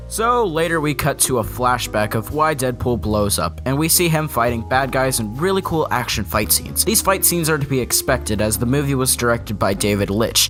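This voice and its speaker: A young man reads a scripted comedy review in a mid-pitched voice, sarcastic and mostly deadpan. He keeps snapping up high on punchlines, and faint music plays underneath. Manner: sarcastic, deadpan jokes with sudden pitch jumps.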